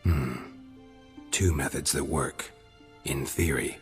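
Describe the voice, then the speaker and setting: An actor playing a grizzled monster hunter who talks in terse, clipped, matter-of-fact sentences. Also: male voice, extremely low pitch, fairly even intonation, takes it slowly, faint music behind. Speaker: game protagonist.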